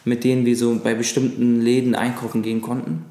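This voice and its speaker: A man in his thirties from Lebanon, whose first language is Arabic, recalling his childhood as an interview guest with frequent pauses and fillers. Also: mid-range voice, completely flat delivery, mellow. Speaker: guest, actor. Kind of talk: casual interview chat. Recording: echoey room.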